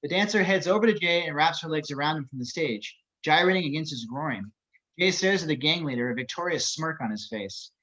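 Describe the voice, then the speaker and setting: A man narrates scripted action descriptions at a table read. His voice is on the higher side for a man. The recording is dull and lo-fi. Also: animated, fairly quick, fairly bright tone.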